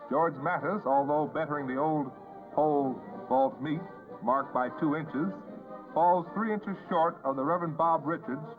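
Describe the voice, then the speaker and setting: A man narrating a scripted 1950s sports newsreel. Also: a little high. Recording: tinny 1950s newsreel soundtrack, phone-like. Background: music.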